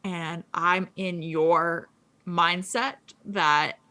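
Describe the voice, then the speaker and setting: A woman co-hosting a casual podcast, chatting quickly with lots of fillers and restarts. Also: on the low side, fairly even intonation, pushed and bright. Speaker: co-host. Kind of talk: casual gaming podcast chat.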